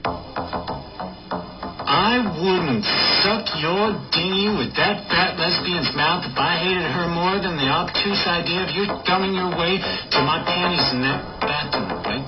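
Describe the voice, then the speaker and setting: A woman's voice: fairly low pitch, lively intonation, slow. An actress playing a scornful woman turning someone down. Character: scornful woman rejecting a drunk man at a bar. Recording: muffled recording under loud music.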